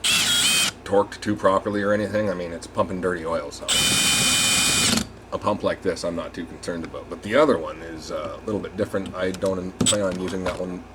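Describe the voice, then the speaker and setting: A man's voice: deep voice, very animated, brisk, very noisy. A man giving a casual hands-on repair demonstration, rambling and thinking aloud as he works. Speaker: RV repair technician presenting a tutorial.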